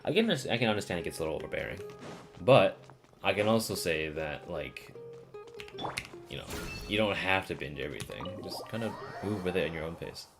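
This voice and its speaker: Deep-voiced, animated male game streamer. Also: a little fast. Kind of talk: casual livestream chat. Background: game music.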